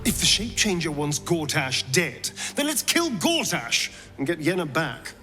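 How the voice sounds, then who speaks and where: An actor playing a guardedly caring adventurer companion in a video game, brisk and wry. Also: male voice, on the high side, big pitch swings, bright, forceful tone, soft background music, full character voice. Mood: concerned, guarded.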